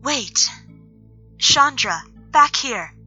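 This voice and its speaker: Slow, breathy, reserved woman mage played by an actress. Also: sits high, expressive, lo-fi sound, faint music behind, heavily acted. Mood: alert. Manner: soft, halting, reserved. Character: quiet, hesitant, perceptive woman nature mage. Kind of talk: audio drama acting.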